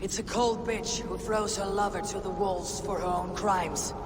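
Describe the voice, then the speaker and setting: An actress playing a stern, resolute Viking warrior in a video game cutscene, grave, blunt and commanding. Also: female voice, mid-range voice, steady tone, measured pace, background noise, lightly acted.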